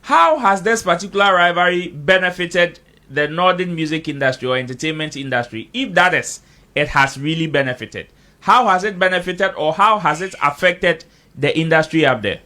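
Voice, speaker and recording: A man's voice: a little high, expressive, moderate pace, pushed and bright. A man hosting an interview, putting questions and rephrasing them as he goes. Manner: rephrases questions, murmurs acknowledgements. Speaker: interviewer, host.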